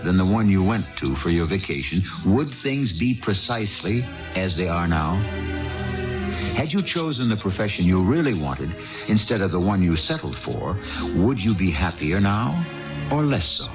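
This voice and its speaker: A deep-voiced man hosts an old radio mystery with deliberate, philosophical narration aimed at the listener. Music plays behind him, and the broadcast sounds slightly muffled. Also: very animated. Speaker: radio drama host.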